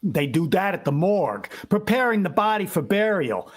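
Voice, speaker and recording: A man's voice: high-pitched, big pitch swings, normal speed. A man playing a tired, home-loving working husband in a vintage-style radio drama, complaining in plain colloquial speech. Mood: weary, grumbling.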